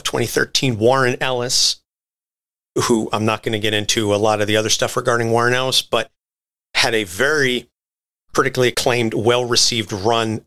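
A man with a notably bright, forward voice at a middling pitch talks as a podcast co-host. He lays out comic book lore in an articulate, wordy, conversational style, like someone walking listeners through a long history.

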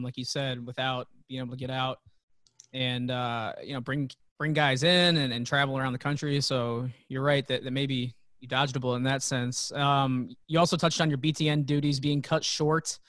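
A man's voice: mid-range voice, level tone, a little fast, a bit bright, no echo, clean studio sound. A man hosting an interview, asking questions in a casual, easy manner. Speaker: podcast host.

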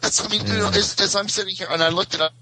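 A man's voice: sits high, steady tone, racing, sharp tone. A middle-aged man chatting casually as a podcast guest in a rambling, stop-and-start way. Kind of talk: casual chat. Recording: faint background noise.

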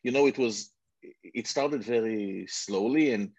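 Israeli man in his forties, husky and a touch low, dry close sound, rambling self-mocking storyteller. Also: measured pace, darkish tone, dry recording. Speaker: guest entrepreneur. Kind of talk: casual interview chat. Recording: dull muffled lo-fi sound.

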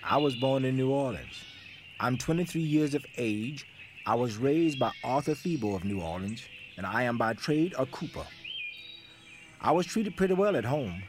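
A man performs a young man who fled slavery, giving plain, measured testimony in a historical stage drama. His medium-pitched voice sits over faint outdoor ambience with animal and bird sounds. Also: animated, noisy background, natural voice. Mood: plain, steady recounting.

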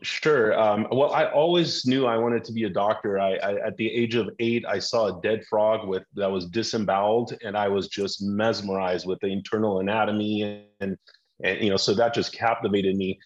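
A middle-aged man from Iran, an orthopedic surgeon, telling his story as a podcast guest in a measured way with frequent restarts. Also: fairly low pitch, fairly even intonation, dull audio.

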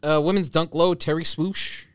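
A man's voice: on the high side, steady tone, moderate pace, smooth, no echo, studio quality. A man co-hosting a casual podcast, speaking tersely as he reads out list items and tosses in short remarks. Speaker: podcast co-host reading out a release list. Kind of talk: casual banter and list reading. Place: podcast studio.

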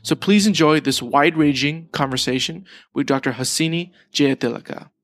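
A man hosting a podcast, reading a scripted introduction and then asking questions in a relaxed, hesitant way. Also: on the high side, moderate pace. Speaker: podcast host. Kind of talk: conversational podcast interview.

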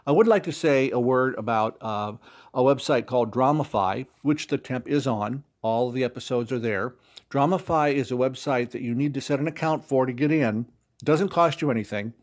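Medium-pitched man chatting as interview guest. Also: darkish tone. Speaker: interview guest, audio drama producer. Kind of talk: conversational interview explanation.

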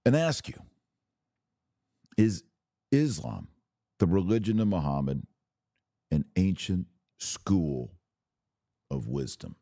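A man hosting a podcast, giving religious commentary in a deliberate way with pauses and emphatic phrasing. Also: low-pitched, expressive, vocal fry, dark tone, no room sound, studio quality. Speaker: podcast host.

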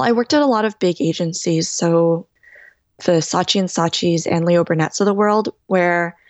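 A woman in her thirties answers interview questions in a lowish, steady voice. She explains things in a thoughtful, measured way. The recording is dry and close, with no room sound. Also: dry recording. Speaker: DJ and guest.

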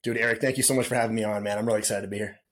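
A man's voice: lowish voice, steady tone, very fast. A middle-aged man telling stories as a podcast guest in a casual, laid-back way, often saying dude and man. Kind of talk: casual storytelling interview.

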